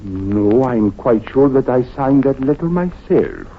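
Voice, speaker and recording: Suave consul on tinny old radio. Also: male voice, medium pitch, expressive, measured pace. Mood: courteous, careful. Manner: formal, courteous, hesitant phrasing. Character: suave, courteous male foreign consul, formal and defensive. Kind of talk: old-time radio drama acting. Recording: tinny, noisy vintage radio recording.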